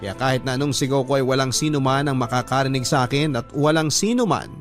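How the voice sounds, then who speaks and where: A man's voice: mid-range voice, fast, close to an everyday voice. A Filipino man narrating a letter dramatically in the first person, in radio drama style. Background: music bed.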